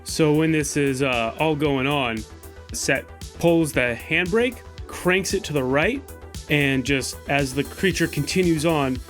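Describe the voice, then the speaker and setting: A man playing a tabletop game, explaining his moves and describing action in a deliberate, casual way. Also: on the high side, a bit bright, faint music behind.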